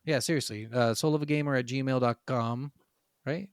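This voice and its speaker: A man's voice: mid-range voice, moderate pace, smooth, no echo, studio quality. A man co-hosting a podcast in casual banter, blunt and profane with slangy phrasing. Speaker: podcast co-host. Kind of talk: casual banter between friends. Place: remote recording from separate locations.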